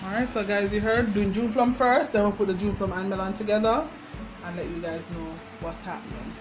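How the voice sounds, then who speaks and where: Casting: Jamaican woman, medium pitch, casual vlog host with Patois touches, recorded with prominent background music. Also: fairly even intonation, fairly quick. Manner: informal, slipping into Patois. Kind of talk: casual chat to viewers while demonstrating homemade drinks. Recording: music over the talk.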